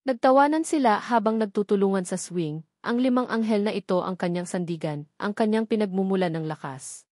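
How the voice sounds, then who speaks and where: A woman's voice: mid-range voice, brisk, darkish tone, no room sound, studio quality. A woman reading a scripted dramatic story as its narrator.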